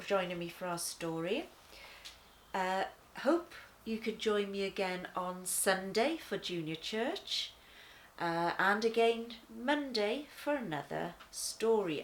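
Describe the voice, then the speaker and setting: Woman, children's devotional reader, lively intonation, deliberate delivery, faint background noise. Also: medium pitch. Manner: deliberate, expressive reading to children. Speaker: children's church story reader. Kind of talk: read-aloud children's devotional.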